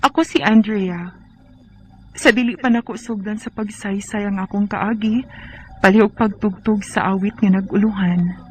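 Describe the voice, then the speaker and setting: A woman's voice: mid-range voice, fairly even intonation, moderate pace, a little hiss or hum, close to an everyday voice, low-quality recording. An actress playing an earnest, troubled young pregnant woman with a Filipino Cebuano accent in a radio drama. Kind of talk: Philippine radio drama acting.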